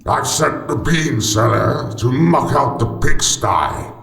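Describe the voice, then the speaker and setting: An actor playing a hungry, menacing villain giant in a theatrical radio pantomime. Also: male voice, mid-range voice, lively intonation, vocal fry, fairly bright tone, reverberant, heavily acted. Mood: smugly menacing. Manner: theatrical, menacing, drawn-out delivery.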